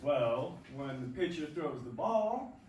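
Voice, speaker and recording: A man plays a cocky young pro ballplayer with a high-pitched voice. He is loud, boastful and needling in a stage play. The sound is very echoey and picked up from a distance. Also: very animated, takes it slowly. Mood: boastful, teasing. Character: brash, cocky young male big-league ballplayer. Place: indoor theatre space, recorded from a distance. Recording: roomy, echoing stage, distant mic, faint noise.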